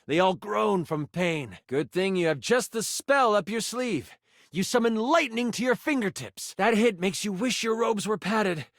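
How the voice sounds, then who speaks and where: A theatrical male game master narrates a fantasy adventure in a video game. His voice sits a little high and moves expressively, with a dry, close recording. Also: lively intonation, unhurried, fairly bright tone, dry recording, exaggerated voice. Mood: dramatic narrating. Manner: theatrical storytelling. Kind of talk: dramatic second-person fantasy game narration.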